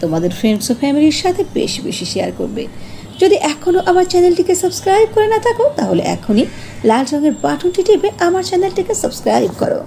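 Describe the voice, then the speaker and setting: A woman's voice: very high voice, big pitch swings, fast, vocal fry, mellow, slight background noise. A woman narrating a scripted fairy tale as a storyteller.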